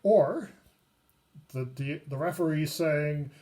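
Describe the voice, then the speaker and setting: A man giving a casual, unscripted review of a tabletop game book, pausing often mid-sentence. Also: a little high, dark tone. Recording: noisy recording with clicks.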